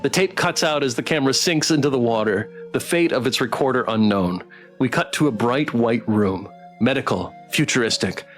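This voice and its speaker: A man streaming a visual novel, reading it aloud dramatically and adding playful, expressive commentary. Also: mid-range voice, normal speed, faint music behind.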